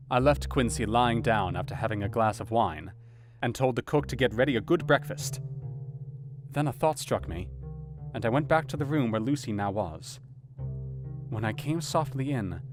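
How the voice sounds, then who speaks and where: Male actor as serious doctor telling his story, lowish voice, formal and sombre, faint guitar under it. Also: natural voice. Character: grave, conscientious male doctor recounting his diary. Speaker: narrator. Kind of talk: dramatized first-person narration.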